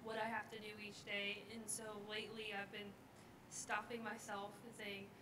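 A slightly hoarse woman sharing a personal thought in a church class. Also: medium pitch, monotone, normal speed. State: a little hoarse. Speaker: class member. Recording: echoey room, distant mic, noticeable noise.

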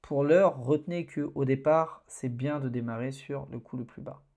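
Man, warm tone, hesitant tutorial narration, desk mic. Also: medium pitch, moderate pace. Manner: relaxed, hesitant, many fillers. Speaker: online course instructor. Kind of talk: screen-recorded tutorial narration.

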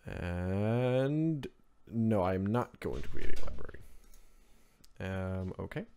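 Male tutorial host from Norway, non-native speaker, lowish voice, informal and rambling screen-recording narration. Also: expressive, slow, mellow, a little hiss or hum. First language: Norwegian. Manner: rambling, offhand, informal asides. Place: indoors at a computer, screen recording voice-over.

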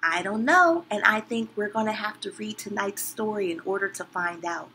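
A woman's voice: mid-range voice, moderate pace, sharp tone, a little hiss or hum, poor audio. A young woman hosting a children's story show with a playful, scripted introduction. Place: small indoor decorated room.

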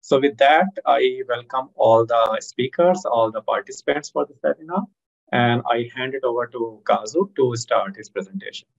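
A man's voice: mid-range voice, normal speed, creaky. A man moderating a webinar and introducing the speakers. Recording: dull muffled webinar audio.

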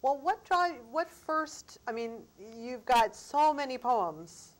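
A middle-aged woman interviewing a guest in a TV studio on a lapel mic, encouraging and quick with short replies. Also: high voice, very animated, rough recording. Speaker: interviewer host. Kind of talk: interview conversation. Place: TV studio, seated.

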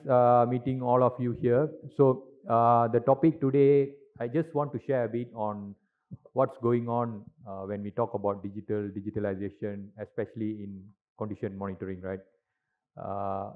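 A middle-aged man giving a conference talk on stage into a handheld mic, with lots of "uh" and "right?" tags. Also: fairly low pitch. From Malaysia. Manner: filler-heavy, frequent tag questions. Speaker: industry speaker at a conference. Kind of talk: presentation talk. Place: large conference hall stage. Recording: tinny, lo-fi hall recording.

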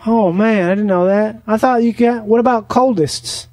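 A man making a deadpan prank phone call, rambling naively and slow to catch on. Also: high-pitched, dry recording, soft background music. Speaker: prank caller on a comedy podcast.

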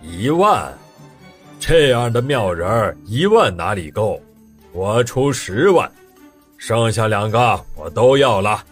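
A man's voice: on the low side, big pitch swings, slow pace, slightly rough, full character voice. A man playing a boastful, greedy, hearty fat nobleman in an audio drama, with a blustering drawl. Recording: music behind the voice.

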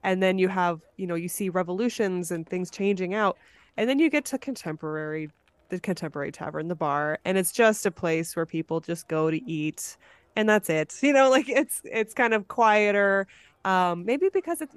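A woman's voice: mid-range voice, animated, moderate pace, smooth, no room sound. A woman hosting a podcast in a casual, enthusiastic way. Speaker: podcast host. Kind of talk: casual chat.

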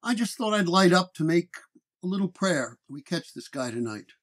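A man playing a calm, measured, wry paranormal detective in a horror story. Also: high-pitched, exaggerated voice. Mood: wry, explaining.

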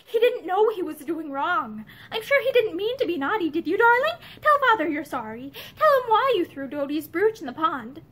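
A woman's voice: extremely high pitch, big pitch swings, normal speed, hardly any pauses, fairly bright tone, voice pushed up, full character voice. A woman voicing an anguished, protective young mother in a storybook, with breathless, emotional pleading. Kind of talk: dramatic storybook reading.

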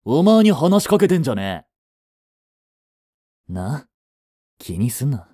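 An actor playing a jealous, hot-tempered teenage male delinquent student in a romantic audio drama, talking in rough slang. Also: medium pitch, sing-song, measured pace, dark tone, dry recording, studio quality. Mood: pleading, possessive.

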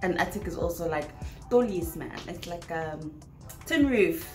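A young South African woman with a South African accent chatting in a casual Q&A vlog, animated and quick to laugh, filling her talk with "like". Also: fairly low pitch, echoey room, over music. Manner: animated, laughing bursts, lots of "like". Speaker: vlogger. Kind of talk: casual Q&A chat. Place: small bedroom indoors. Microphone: laptop camera at desk distance.

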